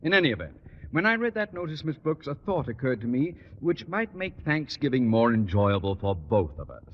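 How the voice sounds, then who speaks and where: A man's voice: mid-range voice, very animated, natural voice. An American man acting a pompous, grudging middle-aged principal in an old radio sitcom, speaking formally. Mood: blustery, self-pitying. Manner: formal, pompous, halting when embarrassed. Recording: muffled, hissy old radio broadcast.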